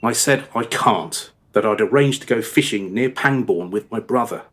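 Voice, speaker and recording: A man's voice: fairly low pitch, moderate pace, fairly bright tone, lightly acted. An actor playing a remorseful married father in a radio play, confessing his past in a rambling, hesitant, over-descriptive way. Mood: rueful. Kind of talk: radio play dialogue, storytelling monologue.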